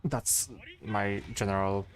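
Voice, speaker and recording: A man in his twenties with a Polish accent, chatting casually to stream viewers and thinking aloud, with pauses and fillers. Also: on the low side, fairly even intonation.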